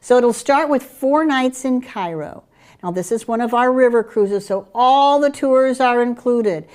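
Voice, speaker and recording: Woman, middle-aged, Dutch Caribbean accent, eager tour promoter, fairly high voice. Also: measured pace, darkish tone. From Curaçao. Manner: enthusiastic sales pitch with fillers. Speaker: travel program presenter. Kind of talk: promotional chat about group tours.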